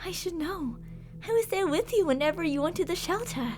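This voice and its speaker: A woman's voice: very high-pitched, lively intonation, fairly quick, darkish tone, soft background music. A woman playing an obsessive, possessive yandere captor in an ASMR roleplay, intimate and doting with endearments yet menacing. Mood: tender, wistful. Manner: intimate, cooing endearments, drops to whispers. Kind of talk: ASMR roleplay, scripted monologue.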